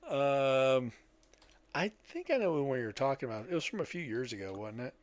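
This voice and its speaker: Older man, average pitch, casual conversational podcast style, dry recording. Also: sing-song, moderate pace, dry recording. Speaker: podcast co-host. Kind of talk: casual chat.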